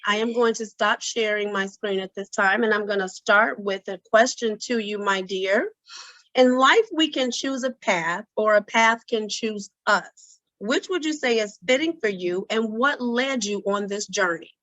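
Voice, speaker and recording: Raspy woman hosting an online event. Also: mid-range voice, a little slow, fairly bright tone, no room sound. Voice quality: raspy this evening. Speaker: host of an online symposium. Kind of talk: event introduction and hosting on a video call. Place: indoors on a video call. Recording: dull video-call audio.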